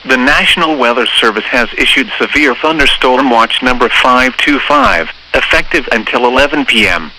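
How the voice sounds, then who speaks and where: A synthetic male voice reading scripted emergency weather bulletins in a formal, measured, impersonal way over weather radio. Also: medium pitch, sing-song, vocal fry. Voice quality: synthetic text-to-speech voice. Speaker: automated weather radio announcer. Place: automated broadcast received over radio. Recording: noisy weather radio broadcast.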